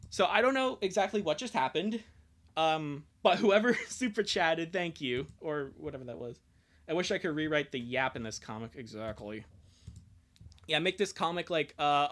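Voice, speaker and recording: A man's voice: a little high, a bit bright. A young man chatting casually on a livestream in a rambling, self-deprecating, profane way. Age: young adult. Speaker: streamer.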